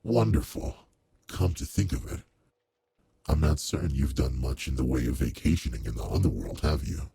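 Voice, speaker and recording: A man voicing a suave, teasing aristocratic demon lord in an intimate roleplay audio drama, with a deep, gravelly, husky voice and a leisurely manner. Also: lively intonation, moderate pace, dark tone, heavily acted. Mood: suave, musing.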